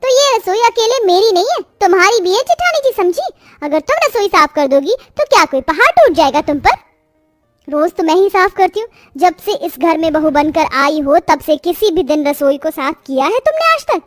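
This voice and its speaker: A woman's voice: very high voice, big pitch swings, normal speed, no echo, clean studio sound, soft background music. A woman playing an irritable, complaining young daughter-in-law in a moral-story cartoon, with a scolding, exasperated manner. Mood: angry, fed up.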